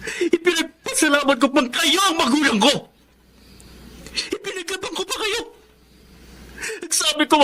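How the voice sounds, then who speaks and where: An actor playing an outraged young Filipino son in a radio drama, impassioned and forceful. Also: male voice, very high voice, heavily acted. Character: outraged, anguished young adult son confronting his father.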